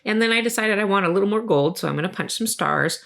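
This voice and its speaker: A woman with a slightly hoarse voice from a cold, hosting a casual craft tutorial. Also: medium pitch, quick pace, a bit bright. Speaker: host of a crafting video channel.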